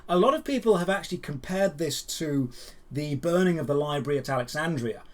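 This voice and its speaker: A man hosting gaming news, giving scripted, opinionated commentary in emphatic, measured phrases with deliberate stresses. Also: fairly high pitch, lively intonation. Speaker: host of a gaming news show.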